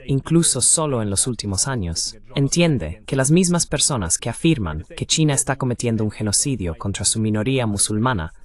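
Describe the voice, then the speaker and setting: Man dubbing an interviewer in Spanish. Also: fairly low pitch. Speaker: translation voice-over for an interviewer. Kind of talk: dubbed interview commentary and questions.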